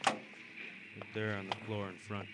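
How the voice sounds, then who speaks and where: A man's voice: fairly low pitch, little pitch movement, moderate pace, close to an everyday voice. An American man playing a seasoned, matter-of-fact police detective in a vintage radio crime drama, plainspoken and clipped. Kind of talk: 1940s-50s radio crime drama acting. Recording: noisy old radio recording.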